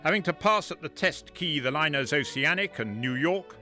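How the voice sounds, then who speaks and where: An actor reading out a formal, urgent 1912 newspaper report for a radio drama. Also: male voice, a little high, expressive, moderate pace, pushed and bright, faint music behind. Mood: grave, urgent.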